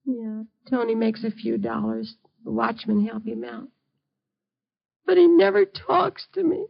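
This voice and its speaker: A high, crackly-voiced middle-aged mother, bedridden and afraid. She pleads and frets in haunted radio-drama style, on a dull vintage recording. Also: a little slow, gravelly, full character voice. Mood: subdued. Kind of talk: old-time radio drama acting.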